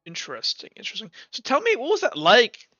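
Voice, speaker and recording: A man's voice: very high voice, wildly swooping pitch, fast talker, bright, forceful tone, dry recording, muffled recording, pitched above the performer's own voice, exaggerated voice. A man playing a nosy, callous, hard-drinking professor in a tabletop roleplaying game, with an offhand, blunt way of talking. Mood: prying, intrigued.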